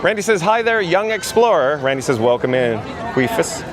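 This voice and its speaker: A hoarse man with a raspy voice hosting a live theme park vlog outdoors, chatting and reading viewer comments aloud. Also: fairly high pitch, sing-song, moderate pace, a crowd in the background. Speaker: livestream host.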